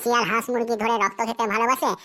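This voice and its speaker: A woman voicing a bright, cocky cartoon schoolboy. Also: a little high, level tone, very fast. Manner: rattles off a memorized essay in a sing-song recital. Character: cocky schoolboy who turns every essay into a fox essay. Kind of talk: cartoon voice acting.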